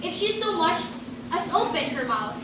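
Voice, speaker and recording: A woman's voice: very high-pitched, very animated, normal speed. A young woman playing an outspoken, indignant girl in amateur reader's theater, speaking emphatically and argumentatively. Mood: indignant, urgent. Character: outspoken, indignant girl defending women and babies. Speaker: student performer in a reader's theater. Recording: echoey room, far from mic, noisy.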